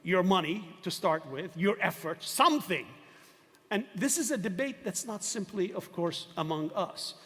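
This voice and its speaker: Older male professor, fairly high voice, emphatic lecture delivery with rhetorical questions, hall reverb. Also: expressive, normal speed, pushed and bright. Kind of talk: university lecture.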